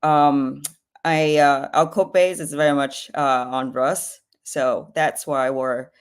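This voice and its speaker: A woman's voice: low-pitched, steady tone, slow pace, clear, resonant tone. A woman from Vietnam, a native Vietnamese speaker, introducing her company in a casual, informal manner. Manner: casual, frequent "like". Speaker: company representative.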